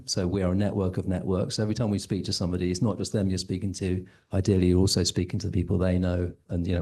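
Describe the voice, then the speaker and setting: A man with a low voice answers on a stage panel. His tone is mellow and his delivery is steady and explanatory. He speaks into a handheld microphone. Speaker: university head of entrepreneurship.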